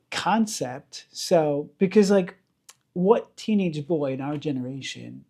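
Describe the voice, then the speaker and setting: A man's voice: fairly high pitch, vocal fry, warm tone. A man giving an informal Torah lesson to camera as a rabbi, explaining emphatically with repeated phrases and rhetorical questions. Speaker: rabbi and teacher.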